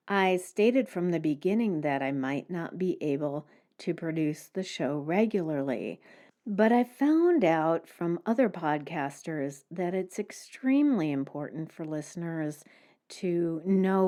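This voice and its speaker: A woman's voice: fairly low pitch, dark tone. A woman hosting a solo podcast, explaining things step by step in plain-spoken language. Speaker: podcast host.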